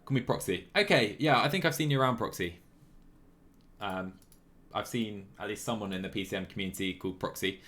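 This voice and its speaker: A man's voice: fairly low pitch, a little fast, a bit bright. A man live-streaming a game, chatting casually and a little apologetically as he thinks aloud. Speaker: live streamer playing a cycling management game. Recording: music playing behind the voice. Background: background music.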